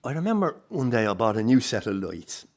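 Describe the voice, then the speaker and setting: A man's voice: medium pitch, sing-song, brisk. Plain-spoken old Irish grandfather. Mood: fond, reminiscing. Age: older. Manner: plain-spoken, rueful, salty. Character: warm, disillusioned old Irish circus grandfather. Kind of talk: naturalistic radio drama acting.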